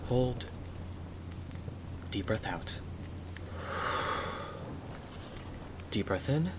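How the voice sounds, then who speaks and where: Man voicing gallant husband, fairly deep, courteous, old-fashioned, soothing, ASMR roleplay, clear rain noise. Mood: calm, reassuring. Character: Jonathan, gallant protective husband, gentle rescuer. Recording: clearly noisy, rain behind the voice.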